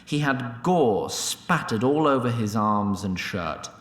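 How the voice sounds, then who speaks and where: A man's voice: medium pitch, lively intonation, slow, reverberant. A man narrating a scripted fantasy tale in a theatrical, mock-grand and droll storyteller's manner.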